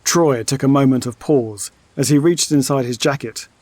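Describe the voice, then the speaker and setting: A man's voice: medium pitch, moderate pace, a little mellow, no room sound. A man delivering scripted, dramatic narration for an audio story.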